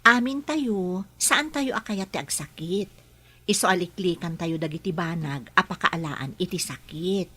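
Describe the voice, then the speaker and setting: A woman's voice: fairly low pitch, measured pace, sharp tone, husky, full character voice. A woman acting a patient, caring young health worker in an Ilocano radio drama, with an Ilocano Filipino accent. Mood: patient, instructive.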